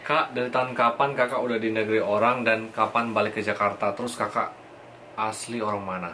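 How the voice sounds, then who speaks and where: A calm young man from Indonesia, the vlogger's husband, reading viewer questions and adding short remarks in casual chat. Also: on the low side, brisk, a little hiss or hum. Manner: calm, brief interjections.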